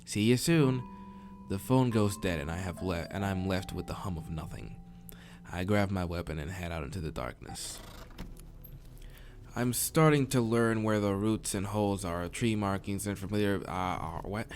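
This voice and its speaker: A man's voice: sits low, normal speed, noisy background. A man narrating a visual novel as a let's player, informal, sometimes stumbling over the text and restarting. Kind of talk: story narration with casual asides. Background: game soundtrack music.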